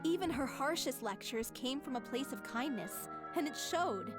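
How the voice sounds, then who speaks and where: An actress playing a gentle, admiring young female theatre troupe member in sincere video game dialogue. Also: sits high, animated, moderate pace. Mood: fond, apologetic. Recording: game music behind the voice.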